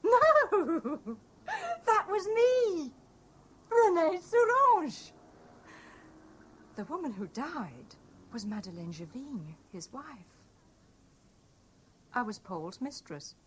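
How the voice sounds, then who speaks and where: A woman's voice: sits high, big pitch swings, extremely slow, pauses often, exaggerated voice. An actress playing a mistress confessing her part in a murder plot, with theatrical 1970s radio drama delivery. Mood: anguished outburst, then subdued confession. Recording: noisy old radio broadcast recording.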